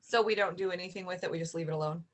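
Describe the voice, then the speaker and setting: A woman's voice: lowish voice, very fast, crowd noise. A woman on a school board asking direct questions with a teasing edge. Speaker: board member.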